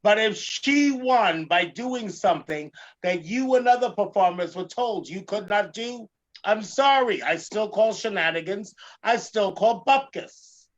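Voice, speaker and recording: Male drag comedian host, high voice, gravelly edge, theatrical, emphatic, repetitive phrasing, slightly muffled audio. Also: expressive, unhurried. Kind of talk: animated talk show rant.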